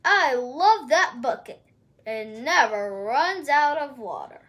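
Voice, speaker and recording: A woman voicing a huge, mean male giant in a storybook, chanting and shouting drawn-out threats. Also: high-pitched, expressive, pitched below the performer's own voice, full character voice. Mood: gloating.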